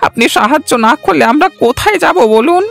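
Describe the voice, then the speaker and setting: A humble village farmer , acted by a woman, speaks with meek respect in children's cartoon style. The voice is high-pitched, with quiet music underneath. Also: animated, brisk, creaky. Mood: pleading. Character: humble, poor village farmer pleading for help. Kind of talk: Bengali children's cartoon voice acting.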